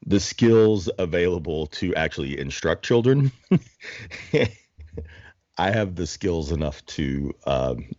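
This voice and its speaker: Very deep-voiced joking man. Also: animated, slow, pauses often, a little mellow, no echo, lo-fi sound. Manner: self-deprecating, joking, rambling. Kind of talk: casual chat.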